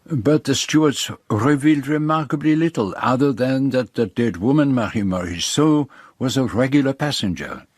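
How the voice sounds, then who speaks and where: An actor playing a courteous, observant Belgian detective with a French-speaking Belgian accent and formal, precise phrasing in a radio drama. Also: male voice, mid-range voice, normal speed, few pauses, a little mellow, natural voice. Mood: measured, curious. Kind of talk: radio drama acting and narration.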